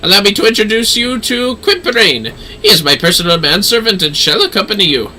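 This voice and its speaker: A man performs a haughty fantasy-game nobleman and astrologer. He is pompous and florid, with a bright, forceful edge and big swoops in pitch. His delivery is grand and easily delighted. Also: animated, fairly quick, a little hiss or hum, heavily acted. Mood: excited, grand.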